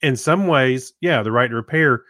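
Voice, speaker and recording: A middle-aged man co-hosting a podcast, talking through tech topics in a halting, loosely phrased way. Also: mid-range voice, bright, forceful tone, dry recording, clean studio sound. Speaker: podcast co-host. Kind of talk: casual tech chat.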